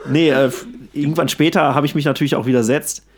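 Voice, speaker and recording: Casual man with a higher-pitched voice. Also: steady tone, fast talker. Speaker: podcast co-host. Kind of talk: casual chat.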